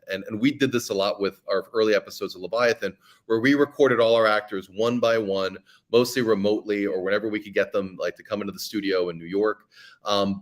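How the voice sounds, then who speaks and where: A man with a lowish voice talks as an interview guest. He explains his craft with eager enthusiasm, in an easy conversational way. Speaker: guest, fiction podcast producer.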